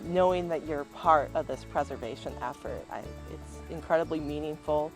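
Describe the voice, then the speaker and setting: A woman's voice: deep voice, moderate pace, faint music behind. A woman being interviewed, talking as a volunteer program coordinator. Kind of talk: on-camera interview.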